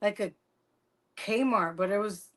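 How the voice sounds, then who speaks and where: A woman's voice: mid-range voice, slow, a bit bright. A woman with a New York accent chatting casually on a podcast, frank and laughing. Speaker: podcast guest. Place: San Diego.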